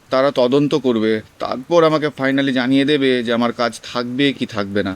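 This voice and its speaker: A man acting as an earnest food delivery rider in his twenties with a clear, resonant voice. He speaks in a polite, pleading way, explaining his troubles as in a radio play. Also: medium pitch, close to an everyday voice. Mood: worried, resigned. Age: 20s. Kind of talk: audio drama acting.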